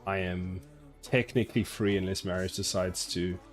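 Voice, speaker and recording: Low-voiced South African man on a live stream. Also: fairly even intonation, normal speed, soft background music. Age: 40s. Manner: reads chat aloud and answers, rambling and self-mocking. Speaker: game developer streamer. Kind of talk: casual live stream chat while coding.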